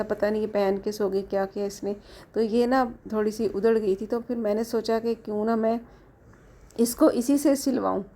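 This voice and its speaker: A woman's voice: a little high, darkish tone. A middle-aged woman from Pakistan with a sore throat, chatting to viewers in a casual home vlog from her kitchen. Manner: chatty, homely, explaining to viewers. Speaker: vlogger.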